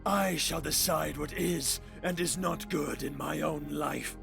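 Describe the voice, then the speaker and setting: A man playing an anguished, imperious young vampire nobleman in a theatrical, breathy audio drama style. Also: a little high, a little slow, music in the background. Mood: cold anger. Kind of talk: dramatic visual-novel style voice acting.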